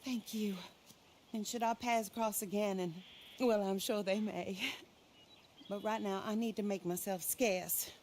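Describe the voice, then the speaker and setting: Actress as imperious vengeful widow, mid-pitched, theatrical, scornful, formal diction, game drama, ambient noise. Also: female voice, fairly even intonation, measured pace, darkish tone, lightly acted. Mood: solemn, grieving. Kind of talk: dramatic game cutscene acting.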